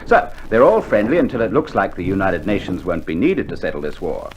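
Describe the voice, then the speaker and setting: An actor playing a seasoned, unflappable Australian sergeant major, laconic and droll, in an old radio war play. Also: male voice, low-pitched, sing-song, fairly quick. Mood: amused. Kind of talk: 1960s military radio play acting. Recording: slightly noisy old vinyl recording.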